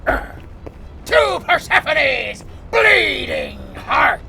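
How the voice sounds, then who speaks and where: An actor playing a bold, boisterous old pirate captain, theatrical and commanding, in a cinematic audio adventure. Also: male voice, very high-pitched, wildly swooping pitch, very slow, vocal fry, bright, forceful tone, raised voice, a little hiss or hum, exaggerated voice. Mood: rousing, exultant. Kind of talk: cinematic audio roleplay acting.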